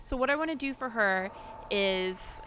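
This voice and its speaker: A woman's voice: a little high, animated, moderate pace, a little hiss or hum. A woman giving casual, chatty game commentary, thinking aloud in an informal way as the host of her video. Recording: loud music behind voice.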